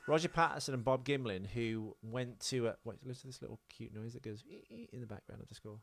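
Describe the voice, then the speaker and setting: A man's voice: mid-range voice, expressive, fast talker, vocal fry, a bit bright, no echo. A man co-hosting a casual film podcast, explaining things in a rambling, sweary way. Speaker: podcast co-host.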